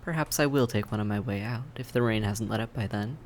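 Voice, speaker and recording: A man playing a suave, polite vampire in an audio roleplay, courteous and measured, with a softly teasing menace. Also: lowish voice, slight background noise, lightly acted. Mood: polite, cheerful. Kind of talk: scripted audio roleplay acting, addressed to the listener.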